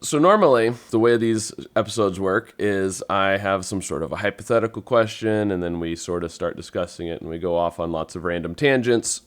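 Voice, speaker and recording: Casting: male podcast host, somewhat low voice, easygoing chatty delivery. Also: fairly quick, few pauses. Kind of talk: casual chat.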